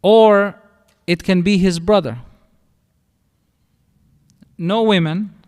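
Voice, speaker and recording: A middle-aged imam with an Albanian accent teaches in an explanatory, conversational way. His voice sits a little high and sounds smooth and resonant. It carries a long reverb from the mosque hall. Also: slow pace, a little mellow. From northern Albania. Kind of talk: religious lecture.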